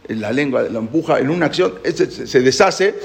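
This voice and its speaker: Gravelly man teaching a Torah class. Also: mid-range voice, a little fast. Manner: animated storyteller, asks the listeners questions. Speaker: rabbi giving a Torah class. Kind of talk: spoken Torah class with a parable.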